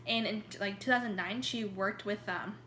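A woman talks through a presentation in a relaxed, informal way, often pausing and restarting her phrases. She is recorded in an echoey room with faint noise behind. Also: medium pitch. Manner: hesitant, many fillers and restarts.

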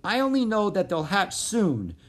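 A woman reading a storybook aloud, voicing a small, anxious but helpful male forest frog in a gentle, earnest tone. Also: medium pitch, very animated, moderate pace, voice pushed up. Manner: gentle, earnest, softly pleading. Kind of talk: storybook character voice.

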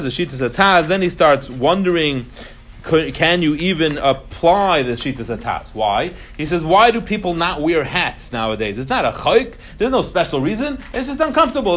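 A man gives an informal religious lesson to students. His voice sits slightly high. He explains emphatically, repeats key phrases and slips Hebrew and Yiddish terms into his English. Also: a bit bright, a little hiss or hum.

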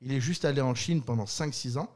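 A man's voice: mid-range voice, brisk. A man co-hosting a casual podcast, telling stories with lots of restarts and laughter. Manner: animated storyteller, stammering restarts, laughs often. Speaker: podcast co-host. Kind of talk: casual chat.

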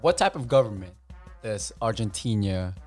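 A man's voice: fairly low pitch, big pitch swings, moderate pace, darkish tone, soft background music. A man co-hosting a podcast with casual, slangy, teasing banter. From Philippines. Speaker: podcast co-host.

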